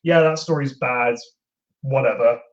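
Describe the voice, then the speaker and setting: A man talks casually as a guest on a podcast. His delivery is halting and rambling, at a medium pitch. The audio is dull and lo-fi.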